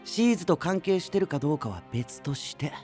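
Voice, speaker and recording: A man's voice: a little high, big pitch swings, a little mellow, faint music behind. A man playing a composed, analytical young scholar in an anime-style audio drama, reasoning things out with confidence. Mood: thoughtful. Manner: measured, reasoning explanations.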